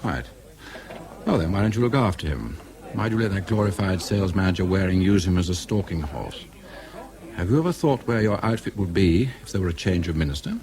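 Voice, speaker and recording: An actor playing a shrewd, needling political insider with a British accent, probing slyly in a clubby manner. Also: male voice, sits low, animated, fairly quick, a little mellow. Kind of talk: radio drama dialogue.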